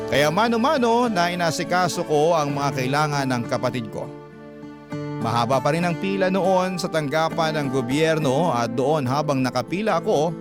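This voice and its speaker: A man with a Filipino accent hosting a radio show, narrating a listener's letter as a dramatic story. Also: fairly high pitch. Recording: music bed under the voice. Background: background music.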